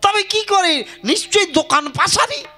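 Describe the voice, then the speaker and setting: A man voicing a wily, excitable village matchmaker in a comic puppet play, with a wheedling, exaggerated delivery. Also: very high voice, normal speed, soft background music, full character voice. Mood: nosy, surprised. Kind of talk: comic Bengali folk puppet theatre.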